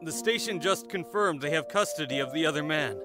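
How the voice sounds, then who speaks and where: A man's voice: on the high side, animated, brisk, natural voice. A man playing a deferential junior police officer in a dramatic manga dub, reporting in a businesslike way. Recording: music behind the voice.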